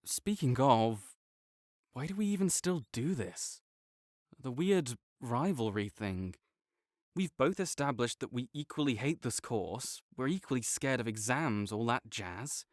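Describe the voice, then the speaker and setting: A man's voice: medium pitch, lively intonation, measured pace, no room sound, clean studio sound, natural voice. A young man playing a prickly male academic rival who is grudgingly kind, talking teasingly and defensively to a silent listener in an audio roleplay. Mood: bickering.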